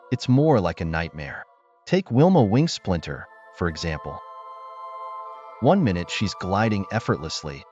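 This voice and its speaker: A man reading scripted, comic story narration for children over background music. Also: on the low side. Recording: muffled lo-fi audio, music underneath.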